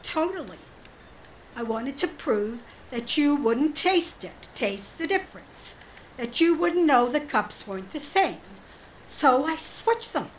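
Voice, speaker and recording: A woman's voice: high-pitched, big pitch swings, slight background noise, exaggerated voice, poor audio. An actress in an audio drama playing a genteel, coolly devious woman who feigns sweet innocence, speaking politely and hesitantly. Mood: apologetic, explaining. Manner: hesitant, polite, drawn-out delivery.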